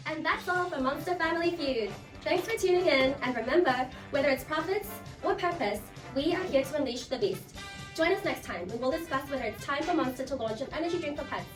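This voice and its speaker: A woman with a high voice plays the host in a jokey, theatrical way. She sounds scripted and playful. The recording is roomy and far from the mic, with loud music behind her. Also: expressive, a little fast. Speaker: game show style host of a student role play.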